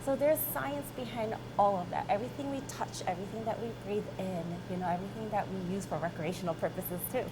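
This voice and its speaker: Female, thirties, American accent, company boss in conversational interview, average pitch, noticeable background noise. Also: fast talker, few pauses. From born in South Korea, grew up in Michigan, USA. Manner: informal, checks in with "right?" and "you know". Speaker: company chief executive being interviewed.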